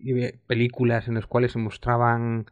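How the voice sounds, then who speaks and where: A man's voice: medium pitch, monotone, normal speed, dry recording, highs cut off. Dry-sounding man chiming in. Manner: short interjections and agreeing asides. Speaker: co-host. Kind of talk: casual interview chat.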